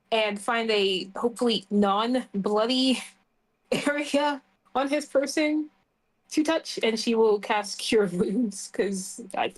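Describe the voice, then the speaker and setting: A woman's voice: a little high, rough recording. A woman chatting casually as she plays a tabletop roleplay game.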